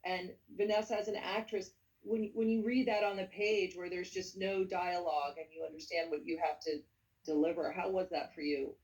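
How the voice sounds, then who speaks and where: A woman's voice: on the low side, steady tone, normal speed. A woman moderating a panel Q&A, introducing guests and asking questions, affirming with frequent mm-hmms. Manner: warm affirming listener, frequent mm-hmm. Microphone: video call. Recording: distant video call audio.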